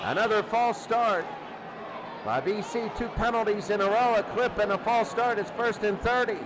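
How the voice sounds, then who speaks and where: A man's voice: high-pitched, moderate pace. A man doing play-by-play American football commentary in short, exclamatory present-tense bursts. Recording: noisy recording with loud crowd din. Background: loud crowd noise.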